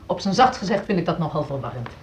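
A woman's voice: on the low side, sing-song, fast. An actress playing a reasonable, concerned female school colleague explaining herself in radio drama meeting dialogue. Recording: faintly noisy radio drama recording.

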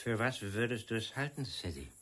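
A man's voice: fairly low pitch, sing-song, fairly quick, a bit bright. An actor playing a proud, secretive poor countryman in radio drama dialogue. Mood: provoking.

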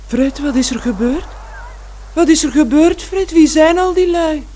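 A woman's voice: high-pitched, a little slow, warm tone, a little hiss or hum. An actress playing a bewildered, distraught middle-aged mother in a radio drama, pleading and repeating herself. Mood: alarmed, pleading. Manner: pleading, repetitive.

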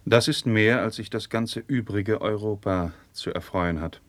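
A man playing a discreet, earnest noble marquis in 1950s radio drama, with courtly, deliberate stage diction. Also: on the low side, no echo, close to an everyday voice. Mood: courtly, veiled. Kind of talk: 1950s German classical radio drama acting.

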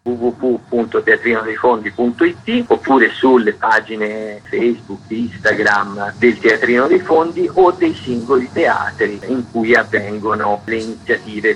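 Male festival director, lowish voice, explanatory interview delivery, faintly noisy radio recording. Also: a bit bright. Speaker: artistic director of an arts festival, interview guest.

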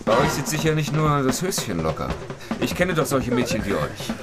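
A man's voice: mid-range voice, big pitch swings, moderate pace, over loud music, natural voice. A man acting a sleazy, callous client in his forties in a German radio drama, patronizing and coldly threatening. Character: sleazy, menacing forty-two-year-old male client, cynical and callous.

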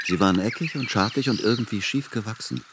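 Deep-voiced, hesitant grown son, played by a man. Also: fast, noisy background, natural voice. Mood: earnest, wistful. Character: hesitant, earnest, brooding adult son. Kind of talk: radio play dialogue.

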